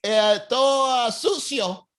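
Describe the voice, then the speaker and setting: A man's voice: very high voice, slow pace, fairly bright tone, smooth, pitched above the performer's own voice, heavily acted. A man voicing a chatty Cuban man with a thick Cuban Spanish accent who gives street directions full of gossip and landmarks. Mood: gossipy.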